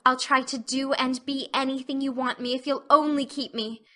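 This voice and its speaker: Casting: woman as passionate young orphan girl, high and bright, breathless, pleading intensity. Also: fairly even intonation, moderate pace. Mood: meek pleading. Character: earnest, passionate, imaginative orphan girl child. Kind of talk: audiobook dramatic reading.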